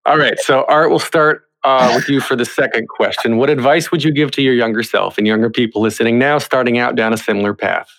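A man's voice: mid-range voice, fairly even intonation, brisk, few pauses, fairly bright tone, poor audio. A man with a mid-range voice works as a podcast host. He speaks conversationally, guiding guests through questions and handing the floor from one to the next. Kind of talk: conversational interview hosting.

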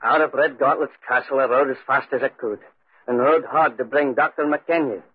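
An actor playing a plain-spoken, cautious Scottish tenant who narrates an eerie tale in a 1940s radio drama, husky-voiced. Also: male voice, mid-range voice, moderate pace, no room sound, close to an everyday voice. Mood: storytelling. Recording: tinny, dry 1940s radio recording.

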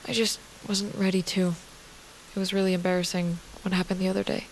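A woman playing a defensive, anxious teenage girl in an audio drama, speaking in a halting way. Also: medium pitch, level tone, a little mellow, a little hiss or hum, lightly acted, poor audio. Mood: subdued. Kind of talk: audio fiction drama acting.

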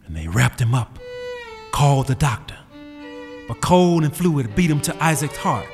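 A man narrates a radio drama in a solemn, measured way, with an even, mid-range voice. His voice carries a long reverb. A faint fiddle plays underneath. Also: medium pitch, music in the background.

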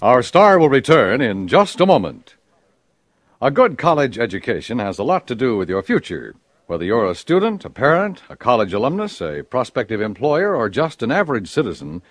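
A man's voice: medium pitch, huge pitch swings, normal speed, no room sound. A male announcer reading an earnest, formal public service appeal on an old radio broadcast.

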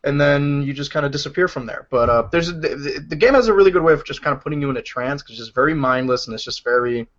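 A man's voice: fairly high pitch, fast, few pauses, a bit bright. A man co-hosting a casual podcast, reviewing games in a rambling way, with lots of "like" and "you know". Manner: rambling, lots of fillers and restarts, laughs easily. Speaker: podcast co-host, game reviewer. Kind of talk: casual chat. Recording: dull lo-fi podcast audio.

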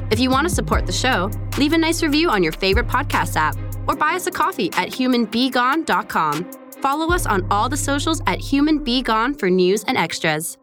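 A woman's voice: fairly high pitch, a bit bright. A woman announcer giving a scripted read in the manner of show credits.

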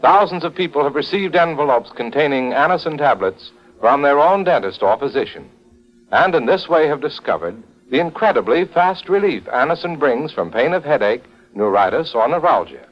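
Animated 1940s radio announcer, muffled recording. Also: male voice, fairly high pitch, normal speed.

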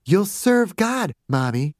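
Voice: mid-range voice, sing-song, takes it slowly, a little mellow, in a large hall, voice pushed up. A narrator voicing a bossy, cruel little girl who gives orders in a childish, spoiled way, in an audiobook story. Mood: sweetly dismissive.

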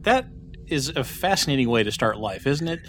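A man's voice: medium pitch, big pitch swings, moderate pace, a bit bright, faint music behind. Chatty joking podcast man. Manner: chatty, joking, trails off with fillers. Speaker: podcast co-host. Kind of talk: casual banter.

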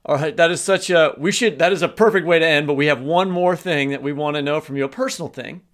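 A man's voice: a little high, fast, a bit bright. A man co-hosting a podcast, speaking in a conversational interview style. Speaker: podcast co-host.

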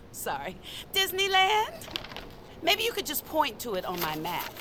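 Chirpy cutesy tourist lady, really high and bouncy, noisy ambience behind her. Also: huge pitch swings, measured pace, heavily acted. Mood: chirpy, persistent. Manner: chirpy, chatty, pushy, cutesy. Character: bubbly, tenacious cat-loving female tourist. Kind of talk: audio fiction acting.

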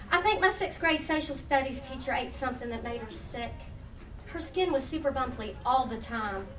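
High-voiced American woman in an echoey room. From Huntington, West Virginia, United States. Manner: folksy, playful storytelling. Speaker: humorist reading her writing to an audience. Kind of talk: humorous live reading with chatty asides. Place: indoor live reading event. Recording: echoey room, distant mic, faint noise.

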